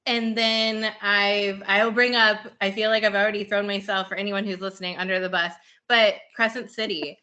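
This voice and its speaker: A woman with a bright, forward voice hosts a relaxed conversational interview. She is chatty and keen, speaking in an informal, friendly back-and-forth style at a medium pitch. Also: moderate pace, few pauses. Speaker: podcast host. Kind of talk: casual book chat interview.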